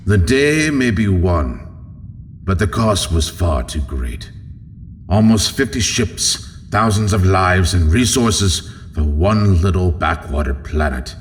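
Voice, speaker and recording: Deep, reverberant alien lord. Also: male voice, animated, a little hiss or hum. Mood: measured, disapproving. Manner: formal, measured. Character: proud, calculating alien noble, reluctant male subordinate of a warlord. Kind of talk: audio drama acting. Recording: long hall reverb, faint ambience.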